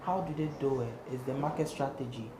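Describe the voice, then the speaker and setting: Young Ghanaian male singer, emphatic and repetitive, echoey room with steady background noise. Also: medium pitch, brisk. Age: young adult. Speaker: singer and guest. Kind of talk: interview conversation.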